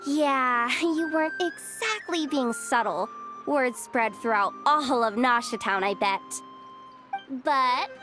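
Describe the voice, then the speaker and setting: A woman's voice: high-pitched, music in the background. A woman playing a cocky, teasing bounty hunter in a video game, brash and boastful. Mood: smug. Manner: swaggering, drawn-out teasing.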